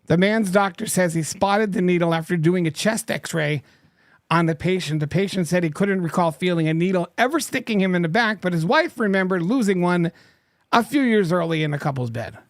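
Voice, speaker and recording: A man's voice: sits high, lively intonation, brisk, dry recording. A man in his fifties co-hosting a casual podcast, joking and teasing his co-host in rambling banter.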